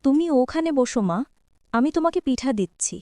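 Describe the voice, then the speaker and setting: A woman voicing a gentle, kindly village housemaid in a cartoon. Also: high-pitched, expressive, fairly quick, a little hiss or hum. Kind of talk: cartoon dialogue.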